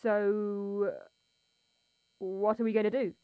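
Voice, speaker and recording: An actress playing a groggy, bewildered woman who has just woken in a coffin, speaking softly in an audio drama. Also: medium pitch, flat delivery, slow, smooth, phone-quality sound, natural voice. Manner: drowsy, soft-spoken, bewildered.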